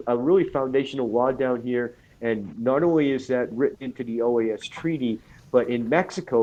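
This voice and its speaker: A man with a cold, talking casually as a guest on an online radio show, halting with fillers and restarts. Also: mid-range voice, mellow, muffled recording. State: has a cold.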